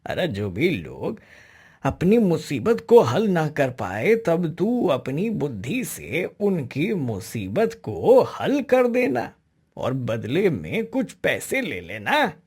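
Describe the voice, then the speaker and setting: आदमी की आवाज़ में भावपूर्ण, भूखा नेकदिल भिखारी. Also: male voice, on the high side, huge pitch swings, slow, darkish tone, husky, exaggerated voice. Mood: advising. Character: hungry, kindly male beggar giving wise advice. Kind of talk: Hindi cartoon story acting.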